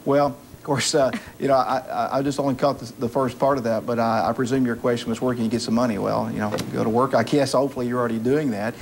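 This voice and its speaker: A man's voice: mid-range voice, fairly even intonation, fast, few pauses, darkish tone. A middle-aged man hosting a TV call-in, answering earnestly in a polite, hesitant way. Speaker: call-in TV show host. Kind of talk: earnest reply to a caller. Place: TV studio. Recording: faintly noisy old TV tape.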